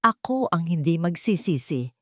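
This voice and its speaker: Woman voicing a low, weary, determined old father. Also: very animated, moderate pace, no echo, studio quality. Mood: quiet resolve.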